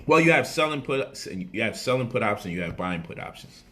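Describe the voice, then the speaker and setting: Guy hyping up options trading, bright punchy voice, slangy, keeps repeating himself. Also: lowish voice, fairly quick. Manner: animated, slangy, repeats phrases for emphasis. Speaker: speaker explaining stock options to viewers. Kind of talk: casual livestream talk.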